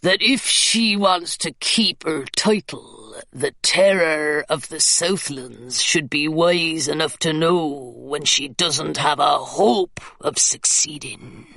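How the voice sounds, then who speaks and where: A woman voicing a gruff, scheming male pirate captain who speaks in a slow, sneering drawl. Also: sits low, pushed and bright, no room sound, pitched below the performer's own voice, exaggerated voice. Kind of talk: audiobook character reading.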